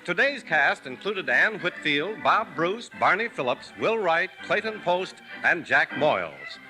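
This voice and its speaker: A man's voice: a little high, sing-song, a little slow, sharp tone, soft background music, low-quality recording. A man announcing a 1950s radio show in an upbeat, punchy delivery.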